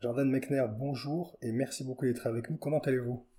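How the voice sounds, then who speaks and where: A man's voice: medium pitch, fairly even intonation, brisk, mellow. Man formally hosting an interview. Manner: formal, reads an introduction with small self-corrections. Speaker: podcast host. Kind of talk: scripted introduction.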